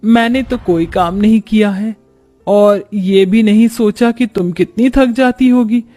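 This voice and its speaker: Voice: medium pitch, unhurried, dark tone, soft background music, natural voice. A man voicing a middle-aged mother-in-law in a family cartoon, bossy but caring, giving familiar instructions. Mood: apologetic.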